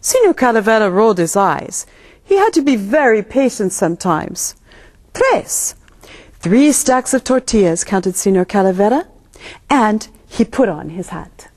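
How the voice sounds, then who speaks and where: A woman storyteller reading a children's picture book aloud in an expressive narrating style. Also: mid-range voice, normal speed.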